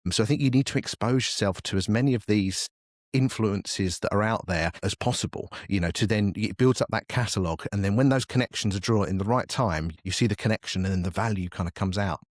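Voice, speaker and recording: A man's voice: lowish voice, brisk, dry recording, studio quality. A man being interviewed as a podcast guest, chatting casually, thinking aloud and rambling through examples. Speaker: podcast guest, podcast network manager.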